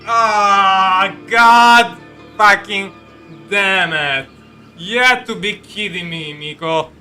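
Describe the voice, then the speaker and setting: A man giving casual, halting, sweary reaction commentary as he plays a video game, sounding exasperated. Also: high voice, sharp tone. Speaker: game streamer. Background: game music.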